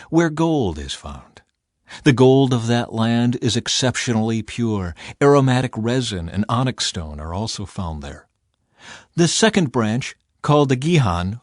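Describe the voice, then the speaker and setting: A man narrating scripture as an audiobook in an expressive, deliberate, dramatic storytelling style. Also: medium pitch, no room sound, clean studio sound.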